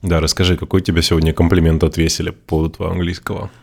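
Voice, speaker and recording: A man's voice: low-pitched, level tone, rapid-fire, gravelly, a little mellow. A man co-hosting a casual podcast, teasing his co-host with dry quips.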